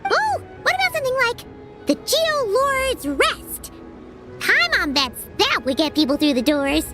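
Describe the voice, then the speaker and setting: A very high, bouncing voice of a small floating fairy companion, chirpy and eager. It swoops up and down constantly. Soft game music runs underneath. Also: female voice, wildly swooping pitch, measured pace, exaggerated voice. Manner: bubbly, squeaky, talks about herself in third person. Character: tiny hovering female companion, chirpy and excitable. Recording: game audio with faint music.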